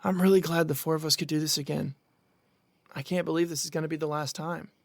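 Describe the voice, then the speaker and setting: A man's voice: on the high side, steady tone, fast, gravelly, no echo, clean studio sound. A young man acting as an easygoing, casual friend in a horror audio drama. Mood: warm, sleepy. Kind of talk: naturalistic audio drama dialogue.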